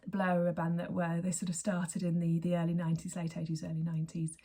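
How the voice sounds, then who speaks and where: A woman in her forties with a hoarse voice, hosting her knitting podcast in a chatty, rambling way and often correcting herself mid-sentence. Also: lowish voice, completely flat delivery, quick pace, dark tone. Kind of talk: casual chat to camera.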